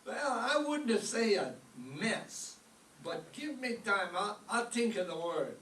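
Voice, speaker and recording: A man playing a wry, hesitant maestro who trades droll insults in an old-time radio comedy, with a halting delivery. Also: sits high, sing-song, a bit bright, exaggerated voice. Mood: dryly mocking. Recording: echoey stage, distant mic, faint noise.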